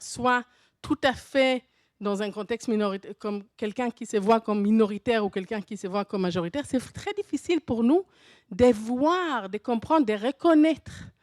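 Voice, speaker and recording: A woman's voice: medium pitch, dry recording, clean studio sound. Woman speaking French with a foreign accent. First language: not French. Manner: animated, thinking aloud with restarts and light laughs. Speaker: university professor presenting at a teachers' conference. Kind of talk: conference presentation.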